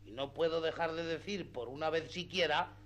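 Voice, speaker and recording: A man's voice: a little high, moderate pace, a bit bright, highs cut off. A man playing a simple, outspoken, good-hearted peasant squire in a radio drama, rustic and deferential yet cheeky. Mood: sheepish, stubborn. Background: faint background music.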